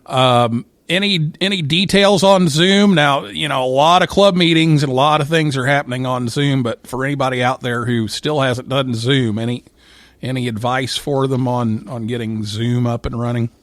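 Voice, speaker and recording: A male host talks through a live interview in an easy conversational style, repeating the odd word as he goes. His voice sits at a middle pitch, and the sound is dry and close, with little room around it. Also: normal speed, fairly bright tone, dry recording. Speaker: host of a ham radio talk show.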